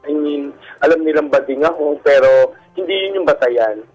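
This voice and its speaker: A Filipino man with a husky, effeminate way of speaking, candidly telling his personal story as a guest in an interview. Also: fairly high pitch, lively intonation, moderate pace, darkish tone, poor audio. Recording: radio broadcast with music underneath. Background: background music.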